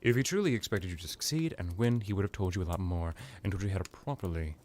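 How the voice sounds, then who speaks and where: A man playing a smug, powerful vampire lord in an ASMR roleplay, talking in a halting, boastful, condescending and mock-polite way. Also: low voice, a little mellow, slight background noise, close to an everyday voice.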